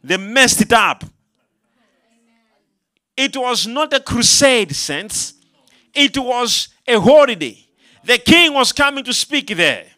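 A man preaching a sermon, asking the congregation to answer back and rising into shouted passages. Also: extremely high pitch, big pitch swings, takes it slowly, long pauses. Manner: call-and-response, rising to shouts.

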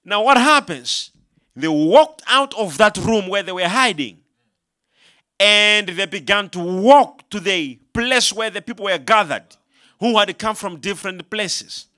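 A man preaching a sermon, asking the congregation to answer back and rising into shouted passages. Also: high voice, lively intonation, unhurried. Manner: call-and-response, rising to shouts.

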